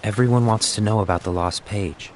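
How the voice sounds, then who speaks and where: A man's voice: lowish voice, fairly quick, slight background noise, natural voice. An actor playing a troubled, brooding young man who guards a secret in a fantasy game. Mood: grave, uneasy. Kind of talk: animated fantasy game cutscene acting. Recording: music behind the voice.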